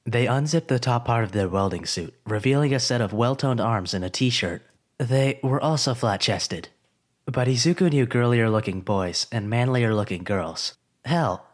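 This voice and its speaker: A man narrating a story in an expressive, scripted storytelling way. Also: medium pitch.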